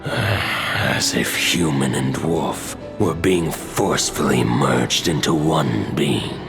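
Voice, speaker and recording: Ancient wolf god for a game: bottomless gravelly bass, stately, very slow. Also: male voice, over music, heavily acted. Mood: solemn warning.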